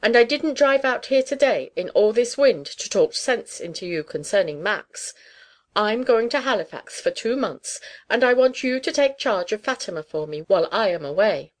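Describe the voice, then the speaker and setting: A woman voicing a domineering, brisk older society aunt in a storybook, with an imperious, emphatic manner. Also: medium pitch, animated, pitched above the performer's own voice, close to an everyday voice. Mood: haughty, brisk. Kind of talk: audiobook character dialogue.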